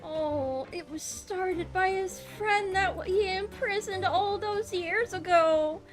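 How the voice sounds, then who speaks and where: Woman, high-pitched, drawn-out slow delivery, exclaiming, casual playthrough reactions, music behind. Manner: emotive, exclaiming. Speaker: gaming streamer.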